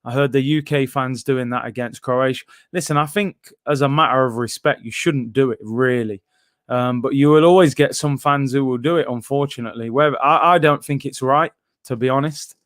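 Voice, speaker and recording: A man's voice: mid-range voice, moderate pace, no room sound. Man, young adult, live stream host, reads chat, slangy, resonant voice, dead dry sound. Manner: chatty, reads out viewer comments, slangy. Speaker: watchalong host reading chat. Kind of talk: casual live stream commentary.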